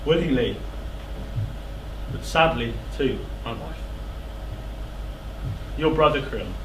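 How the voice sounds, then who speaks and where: A man's voice: mid-range voice, animated, slow pace. A young man playing a proud, suspicious, hot-tempered king in a stage tragedy, with declamatory, indignant delivery. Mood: aggrieved. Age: young adult. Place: theatre stage. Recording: echoey theatre with background noise.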